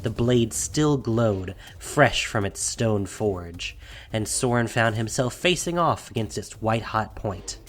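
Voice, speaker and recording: A man's voice: on the low side, expressive, slow pace, soft background music. A man narrating a fantasy audio drama from a script in a dramatic storytelling style.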